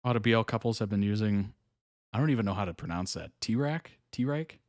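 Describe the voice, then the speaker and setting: Low-voiced man chatting through reactions. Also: a little fast, dry recording, clean studio sound. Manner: relaxed, chuckling, short approving remarks. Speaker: reaction video host. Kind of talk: casual reaction commentary.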